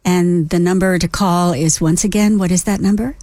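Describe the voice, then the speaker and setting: A woman with a fairly low voice hosts an interview. She speaks in a conversational broadcast manner, and the sound is dry, with very little room around it. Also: fairly even intonation, moderate pace, warm tone, no room sound. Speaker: interview host.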